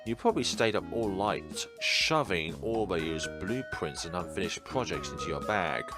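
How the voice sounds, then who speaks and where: A man's voice: low voice, expressive, normal speed. A man voicing a teasing, reassuring young friend and business partner in visual novel dialogue. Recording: music playing behind the voice.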